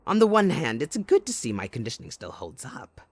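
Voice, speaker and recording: A man playing a gleeful, sadistic supervillain kidnapper in an audio roleplay, with a theatrical, mocking delivery that swings between soft and loud. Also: medium pitch, huge pitch swings, fairly quick, dry recording. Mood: mocking, disappointed. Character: sadistic, gleeful male supervillain kidnapper taunting his captive sidekick.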